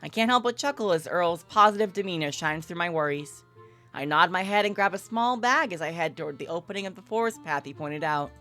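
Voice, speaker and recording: A playful, slangy, expressive woman livestreaming, moving between casual chat and narrating a visual novel. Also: lowish voice, a little fast, soft background music.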